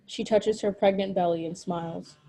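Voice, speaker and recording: A woman's voice: fairly low pitch, steady tone, moderate pace, mellow, a little hiss or hum, poor audio. A woman narrating stage directions from a screenplay at a table read.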